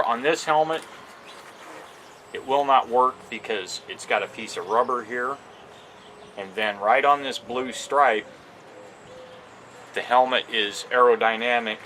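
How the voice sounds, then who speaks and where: A man's voice: fairly low pitch, sharp tone. Casual man talking over outdoor noise. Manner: unscripted, plain-spoken, halting. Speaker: amateur product reviewer. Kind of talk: casual hands-on product demo. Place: outdoors on a porch. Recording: noisy outdoor recording with ambient sounds.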